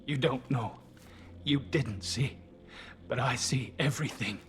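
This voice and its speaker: A man's voice: mid-range voice, measured pace, a bit bright, slight background noise, lightly acted. A man voicing a delirious, frightened young alien pilgrim in a video game, with a thin, shaky voice and broken, repetitive phrases. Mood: haunted, fearful. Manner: broken, repetitive clipped fragments.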